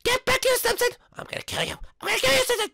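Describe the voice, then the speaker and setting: A woman voicing a loud, taunting schoolyard bully boy who turns cowardly, in a homemade cartoon play. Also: extremely high pitch, rapid-fire, vocal fry, no echo, clean studio sound, exaggerated voice.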